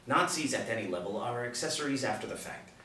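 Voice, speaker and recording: A man's voice: medium pitch, expressive, fast, bright, forceful tone. Man as determined lieutenant, firm, table-read drama, echoey room, faint noise. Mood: resolute. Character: resolute male military lieutenant hunting old Nazis. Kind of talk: scripted table reading. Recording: roomy, distant mic, light room noise.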